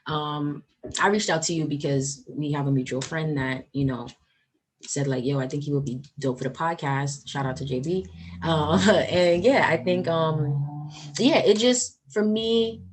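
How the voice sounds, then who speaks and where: A woman's voice: low-pitched, darkish tone, a little hiss or hum. A woman in her twenties hosting a podcast in casual, upbeat chat, full of "like" and "um". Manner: chatty, upbeat, lots of "like" and "um". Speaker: podcast host.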